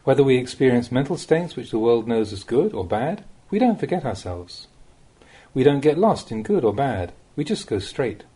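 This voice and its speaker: A man reading a Buddhist teaching aloud as an audiobook, as a monk would, with measured and expressive phrasing. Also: mid-range voice, breathy edge. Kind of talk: read-aloud Dhamma talk, audiobook narration.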